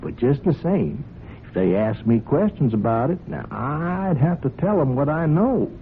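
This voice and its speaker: A man's voice: mid-range voice, big pitch swings, measured pace. A sly country blackmailer in an old radio play, on a muffled, noisy recording. His folksy voice swings up and down widely, all false friendliness and hinted threat. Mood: mock-sympathetic, threatening. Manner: insinuating, falsely amiable, chuckling, hesitant phrasing. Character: sly, folksy male horse-farm hand turned blackmailer. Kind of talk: radio drama acting.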